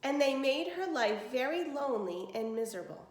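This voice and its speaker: A woman's voice: high voice, very animated, moderate pace. Lady doing storytime read aloud, super up n down voice, explains stuff, echoey room. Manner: explains words plainly to listeners. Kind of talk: expressive picture-book reading with explanatory asides. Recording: roomy, echoey indoor sound.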